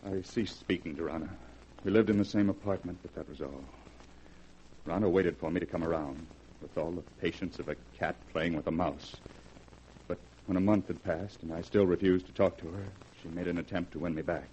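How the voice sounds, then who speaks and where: A man acting a bitter, haunted husband in an old radio horror drama. His voice is on the low side, grave and dramatic. Faint noise runs through the vintage recording. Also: fairly even intonation, a little fast, darkish tone, natural voice, rough recording. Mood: haunted, brooding.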